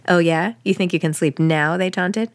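A woman's voice: lowish voice, fairly even intonation, normal speed, no echo. A woman reading first-person fiction aloud in a wry, conversational way, like an audiobook. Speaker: author reading own novel.

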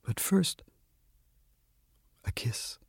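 A man voicing a friendly, wistful old lady in a story reading, with a gentle, chatty manner. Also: very slow, no echo, whispered, clean studio sound. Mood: warm, decided. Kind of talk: literary story reading with character dialogue.

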